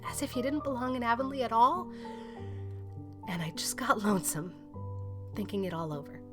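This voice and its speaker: A woman's voice: fairly high pitch, lively intonation, moderate pace, music in the background, lightly acted. A woman playing a practical, reserved older farm woman who speaks plainly in an audiobook drama. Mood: wistful.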